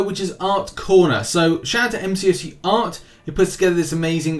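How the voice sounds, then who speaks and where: A man's voice: on the high side, a bit bright. A man hosting a gaming news video with casual commentary, rambling a little and repeating phrases for emphasis. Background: background music.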